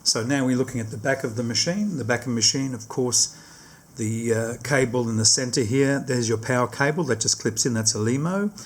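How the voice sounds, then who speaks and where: Husky-voiced man giving a casual tutorial. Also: mid-range voice, fairly quick. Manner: explanatory, repeats and rephrases instructions. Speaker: product demonstrator.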